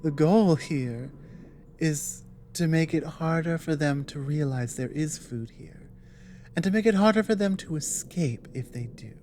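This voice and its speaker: A woman playing an earnest, apologetic female dragon in an ASMR audio roleplay, explaining things hesitantly in a soft voice. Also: sits low, measured pace, slight background noise. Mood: explaining, measured. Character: earnest, apologetic female dragon warning a human ally of war.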